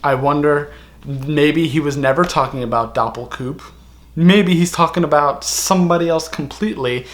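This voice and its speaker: A man hosting a casual fan discussion, speaking in a halting, rattled and intense way. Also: on the high side, a little hiss or hum. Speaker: video host.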